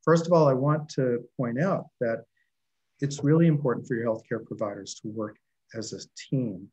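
Older man giving a relaxed video-call talk. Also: mid-range voice, normal speed, highs cut off. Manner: relaxed, conversational, with fillers. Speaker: dental school lecturer presenting. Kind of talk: conference presentation over video call. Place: home office. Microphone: video call webcam.

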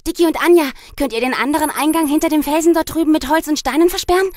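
Give this voice a high pitch. It is a resourceful young girl detective who takes the lead , acted by a woman in a children's radio play. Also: fast, a little mellow, close to an everyday voice. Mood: urgent, decisive. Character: Lina, resourceful girl detective taking charge.

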